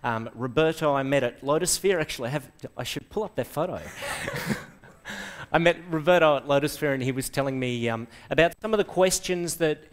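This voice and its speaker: A middle-aged Australian man delivers a lively tech talk in a lecture hall, his voice sitting a touch high. He keeps throwing questions at the audience and breaks in with quick asides. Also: clear, resonant tone. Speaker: conference presenter.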